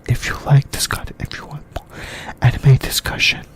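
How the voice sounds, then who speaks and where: A YouTuber whispering an ASMR anime discussion in a halting way, in short broken phrases. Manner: whispering throughout.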